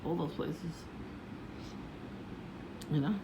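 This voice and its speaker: A woman vlogging casually about her day in a low voice, meandering with many fillers and restarts. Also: steady tone, very slow, vocal fry. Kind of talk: casual rambling vlog. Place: outdoors, windy. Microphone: phone. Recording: noisy phone recording.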